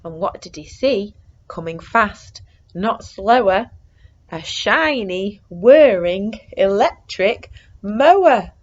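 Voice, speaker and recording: Slow-paced woman reading to young children. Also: medium pitch, dull audio. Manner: expressive, with hushed suspenseful whispers and excited exclamations. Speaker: storyteller reading a picture book to children. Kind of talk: animated read-aloud for young children.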